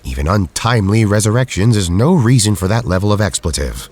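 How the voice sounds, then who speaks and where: Very deep, husky gentleman hero. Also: expressive. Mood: calm, reproving. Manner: formal, measured, mildly reproving. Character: Hank, erudite, calm, gentlemanly male superhero. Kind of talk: audio drama acting.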